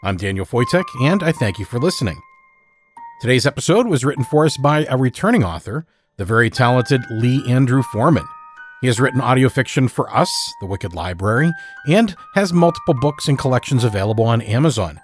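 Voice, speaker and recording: Podcast host guy reading his intro, earnest, music low behind. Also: animated, fairly quick. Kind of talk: scripted show introduction. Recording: soft music underneath.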